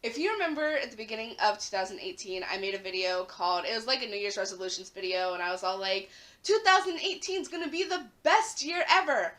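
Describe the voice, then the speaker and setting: Raspy-voiced chatty woman with a bright tone. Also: medium pitch, expressive, fast. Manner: chatty, lots of "like" fillers, rising emphasis. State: hoarse after flu, coughing. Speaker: vlogger. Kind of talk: casual talk to camera.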